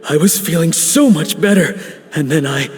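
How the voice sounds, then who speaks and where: A man's voice: a little high, moderate pace, lightly acted. A man voicing a shaken, earnest middle-aged chef. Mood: anxious. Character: middle-aged anxious male chef, a father. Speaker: video game character. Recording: reverberant game audio with music.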